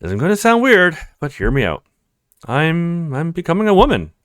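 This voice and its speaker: Guy voicing a small frustrated young woman in a visual novel, earnest n complaining, up and down pitch. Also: huge pitch swings, normal speed, fairly bright tone, dry recording, studio quality. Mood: earnest, hesitant.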